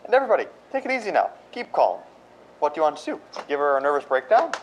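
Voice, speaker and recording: A man's voice: on the high side, huge pitch swings, fast, background noise. A man playing a harried, exasperated Hollywood publicity agent in a radio drama, speaking urgently.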